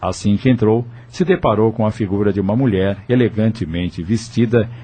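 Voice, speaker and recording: A man's voice: on the low side, normal speed, mellow, breathy edge. A man with a Brazilian accent narrating an audio novel in a scripted storytelling style.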